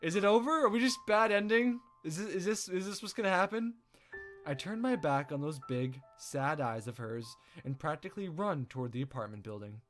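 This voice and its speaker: A man reading a visual novel aloud as a let's play host, with expressive storytelling narration. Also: a little high, a little fast, faint music behind.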